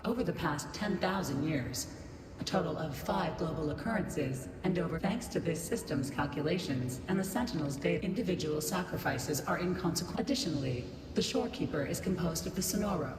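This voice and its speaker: A woman's voice: sits low, expressive, a little fast. A woman voicing a cold, emotionless computer system in a video game, speaking in formal, clipped announcements. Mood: impassive. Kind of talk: scripted video game voice acting. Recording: echoey reverberant hall, loud background noise.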